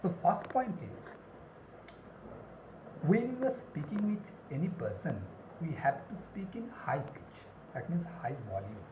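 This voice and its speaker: A woman gives a spoken English lesson in a low, very animated voice. She speaks deliberately and repeats key phrases to stress them. The recording is roomy and echoey. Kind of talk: instructional lesson with numbered points and examples. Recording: roomy echo, clear background noise.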